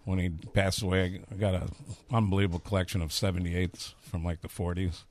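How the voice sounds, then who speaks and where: A man's voice: sits low, flat delivery, brisk. A man in his fifties with a Chicago accent, a chef talking candidly and rambling through stories in a casual interview. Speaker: chef and restaurateur.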